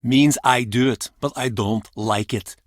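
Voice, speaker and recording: A man's voice: mid-range voice, unhurried, no room sound, clean studio sound, close to an everyday voice. A man playing a bitter, cranky ex-sailor in a video game, with a brusque, mocking, theatrical manner. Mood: bitter, brooding. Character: gruff, bitter Icelandic ex-sailor, cranky menacing male pub regular. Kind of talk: video game character acting.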